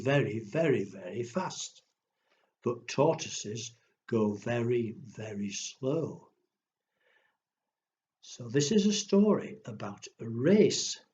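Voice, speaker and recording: A man telling stories to pre-school children in a simple, deliberate way, often putting questions to his young listeners. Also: medium pitch, a little mellow, highs cut off. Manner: slow, deliberate, addressing small children with questions. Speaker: children's storyteller.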